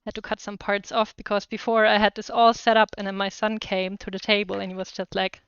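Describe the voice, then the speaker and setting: Female cooking stream host, German accent, very smooth clean voice, chatty and upbeat, dry but slightly dull sound. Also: medium pitch, level tone, a little fast, fairly bright tone, no room sound. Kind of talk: casual chat to live viewers while cooking.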